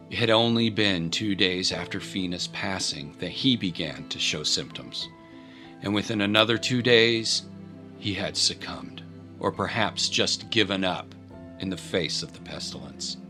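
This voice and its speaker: Male narrator, grave scripted drama storytelling, lower register, over a music bed. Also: pushed and bright.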